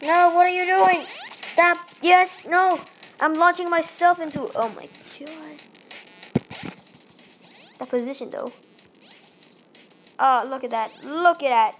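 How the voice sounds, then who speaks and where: Excitable Malaysian woman yelling at a game. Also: very high voice, slow pace, long pauses, slight background noise, low-quality recording. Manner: excitable, yelps and pleads at the game. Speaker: gaming channel host. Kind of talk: let's play commentary. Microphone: tablet microphone held to face.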